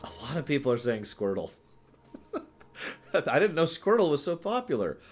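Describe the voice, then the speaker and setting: A man's voice: a little high, big pitch swings, normal speed, faint music behind. A man hosting a casual livestream about games, rambling and thinking aloud as he talks to his chat. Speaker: livestream host answering viewer questions.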